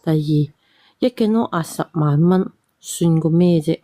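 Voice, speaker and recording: A woman's voice: lowish voice, moderate pace, dark tone, no room sound. A woman on a call-in panel, arguing bluntly and scolding in casual talk. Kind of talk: argumentative casual commentary.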